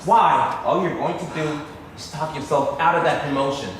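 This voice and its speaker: A man's voice: a little high, normal speed, natural voice. A man acting in a stage play as a wry, persuasive, scheming grown son of a wealthy family. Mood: calculating. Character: scheming, pragmatic adult son of wealthy businessman. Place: theatre stage. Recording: echoey theatre, distant mic, noisy.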